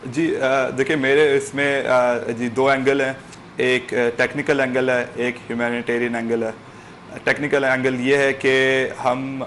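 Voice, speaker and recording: A man's voice: medium pitch, a bit bright. A man speaking methodically but with hesitations in an interview appeal, using many English technical terms. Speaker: bereaved relative being interviewed. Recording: faint background noise.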